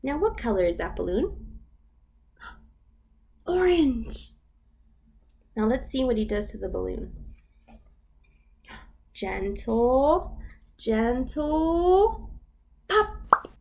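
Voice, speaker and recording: A woman leading a storytime for babies as a children's librarian, reading in an exaggerated sing-song way with gasps and hushed whispers. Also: fairly high pitch, sing-song, very slow, vocal fry, pauses often.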